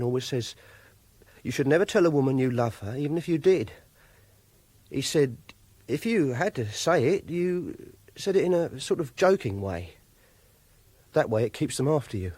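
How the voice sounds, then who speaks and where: An actor playing a lonely, socially awkward, obsessive young kidnapper, confessing and justifying himself in colloquial radio drama narration. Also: male voice, mid-range voice, darkish tone, slightly rough, dry recording.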